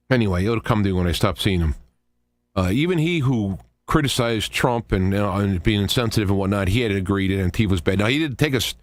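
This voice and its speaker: A man hosting a talk radio show, giving informal political commentary in a halting, rambling way with conversational asides. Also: mid-range voice, no echo.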